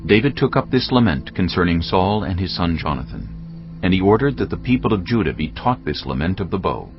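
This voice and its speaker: A deep male narrator reads scripture with measured, dramatic phrasing and lively movement in pitch. Music plays under a slightly dull recording.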